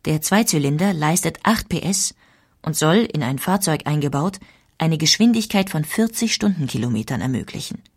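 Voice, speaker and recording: A woman's voice: low voice, expressive, moderate pace, breathy edge. A woman reading scripted historical documentary narration in a factual, composed manner.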